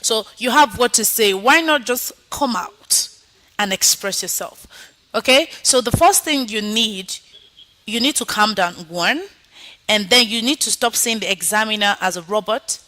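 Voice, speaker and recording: Woman teacher on a video call, bright tense voice, coaching tone, checks in with "okay?". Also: medium pitch, lively intonation, measured pace. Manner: coaching, encouraging, repeats "okay?". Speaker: IELTS teacher. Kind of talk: online speaking lesson and mock exam.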